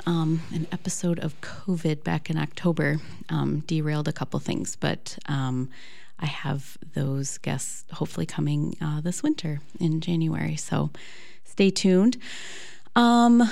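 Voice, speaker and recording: A woman with a low, gravelly voice and an American accent hosts a casual podcast chat. She talks loosely, with frequent "um"s as she gathers her thoughts. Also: dark tone. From United States. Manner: chatty, many "um" fillers. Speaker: podcast host. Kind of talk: casual interview.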